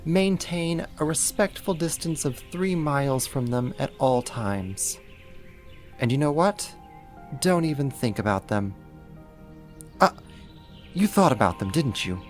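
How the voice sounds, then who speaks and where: A man's voice: mid-range voice, expressive, measured pace, long pauses, soft background music, lightly acted. A man playing a slight, possessive, vain vampire in a roleplay audio drama, sarcastic, haughty and fussy. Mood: jealous, threatening. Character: puny snarky jealous male vampire, possessive and vain.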